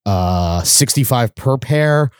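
A man co-hosting a podcast in a laid-back, slangy way, laughing often. His pitch sits in the middle of the usual range. The recording is close and dry. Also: expressive, takes it slowly, clean tone, dry recording. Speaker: podcast co-host. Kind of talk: casual podcast banter.

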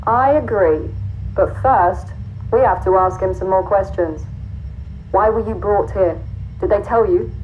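A firm, controlled female squad leader in a sci-fi audio drama. Her medium-pitched voice comes through a thin, tinny radio filter with faint noise as she questions. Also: unhurried. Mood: probing.

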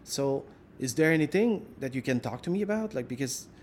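A man's voice: medium pitch, animated, brisk, darkish tone. Guy asking questions, kinda rambly, says like a lot, faint hiss behind. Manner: rambling, thinks aloud with many "like"s. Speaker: interviewer. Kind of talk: casual conversational interview.

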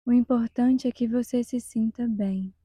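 A Brazilian woman guides a meditation in a soft, breathy half-whisper. Her pitch stays flat and her pace is slow, with calm, measured pauses. The sound is close and dry. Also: dry recording.

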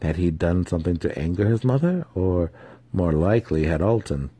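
A man's voice: deep voice, animated, normal speed, mellow, slightly rough, no echo. A man giving a dramatic scripted reading of a fantasy novel as its narrator. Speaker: audiobook narrator.

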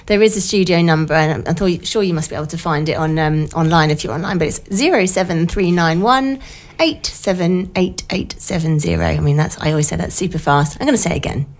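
A middle-aged British woman with a croaky, slightly blocked-nose voice from a cold, chatting informally as a radio host in a studio. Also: on the low side, a little fast. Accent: British English. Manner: informal, self-deprecating, rambling anecdotes. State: has a cold. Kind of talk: casual chatty radio monologue.

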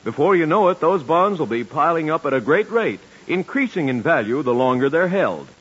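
A man's voice: fairly high pitch, big pitch swings, a little fast, fairly bright tone, breathy edge. An American man announcing in scripted 1940s radio style, with a polished, salesmanlike delivery. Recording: muffled 1940s radio broadcast with music.

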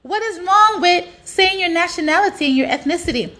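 A woman's voice: extremely high pitch, animated, fairly quick, pushed and bright. A woman delivering an opinionated, exasperated monologue in colloquial speech, with rhetorical questions and frequent swearing.

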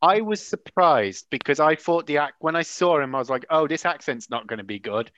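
A man's voice: on the high side, expressive, fairly quick, fairly bright tone, highs cut off. A man co-hosting a podcast, bantering in a jokey way and swearing casually. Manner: jokey, swears, laughs often. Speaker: podcast co-host.

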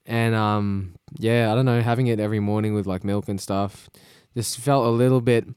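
Laid-back Australian man, smooth voice. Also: on the low side, steady tone, normal speed, darkish tone, dry recording, clean studio sound. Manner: laid-back, rambling, laughs often. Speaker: podcast co-host. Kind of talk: casual chat. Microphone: podcast microphone.

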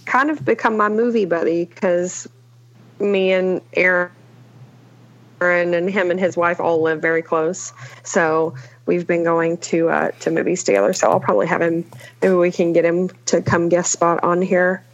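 A woman's voice: on the low side, steady tone, moderate pace. A woman co-hosting a podcast in casual chat, chatty and offhand. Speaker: podcast co-host. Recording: dull lo-fi sound.

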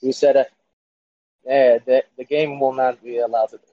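A man chatting on a gaming podcast, hesitant and quick to laugh. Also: mid-range voice, level tone, no echo. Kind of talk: casual gaming podcast chat. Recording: dull lo-fi mic.

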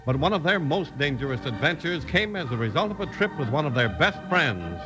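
Animated, high-pitched American radio announcer man. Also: a little fast, rough recording. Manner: emphatic, polished salesmanship. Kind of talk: 1950s radio announcing, scripted. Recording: vintage radio recording, music behind. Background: music.

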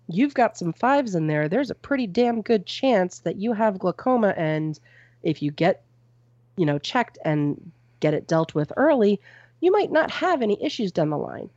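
A woman co-hosting a podcast from home on a boom-arm mic. She reads out a news story and then chats about it loosely, with plenty of "um" and "you know". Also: animated, normal speed, a little mellow, no echo, lo-fi sound. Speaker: podcast co-host reading news. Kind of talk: casual news chat. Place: at home with a cat nearby. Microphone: microphone on a boom arm.

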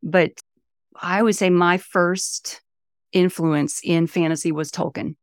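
A woman's voice: lowish voice, steady tone, slow pace, no room sound, studio quality. A woman in her fifties from the St. Louis area, an author chatting enthusiastically as a podcast guest. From St. Louis area, USA. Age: 50s. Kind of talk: casual interview talk.

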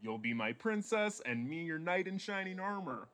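A man acts out a cocky, lovesick former boyfriend in a radio play. His voice runs fairly high with animated pitch swings, melodramatic and pleading throughout. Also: moderate pace.

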